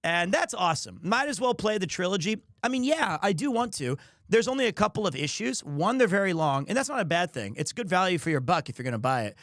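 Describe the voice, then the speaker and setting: A man hosting a livestream, talking casually to his audience in a rambling, jokey, expressive way. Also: high voice, fast, no room sound, clean studio sound. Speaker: livestreamer and host. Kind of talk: casual chat to a stream audience.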